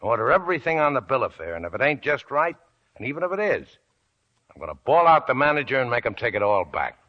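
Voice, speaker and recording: Paranoid greedy young prospector, snarling, husky voice swings up and down, radio drama. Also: male voice, mid-range voice, big pitch swings, quick pace. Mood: boastful. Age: young adult. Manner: suspicious, snarling, mutters to himself. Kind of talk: 1940s radio acting. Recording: dull lo-fi old radio recording.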